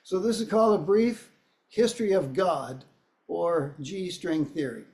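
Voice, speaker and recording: A hoarse man with a cold, a singer-songwriter introducing his song with wry, dry humor. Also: high voice, a little slow, darkish tone. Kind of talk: relaxed spoken song introduction. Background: guitar playing.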